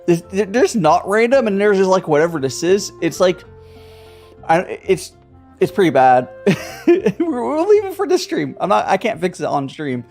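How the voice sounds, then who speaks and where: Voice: medium pitch, sing-song, moderate pace, gravelly, darkish tone. A live streamer chatting casually while playing a video game, playfully echoing the dialogue. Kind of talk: casual gameplay livestream chat. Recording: game music behind the voice. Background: video game music and dialogue.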